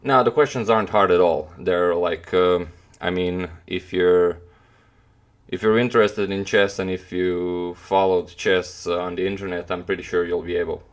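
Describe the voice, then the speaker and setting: Low-voiced Croatian man chatting on stream. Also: fairly even intonation, moderate pace. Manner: hesitant, many fillers and restarts. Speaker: chess streamer answering chat. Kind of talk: casual live-stream chat.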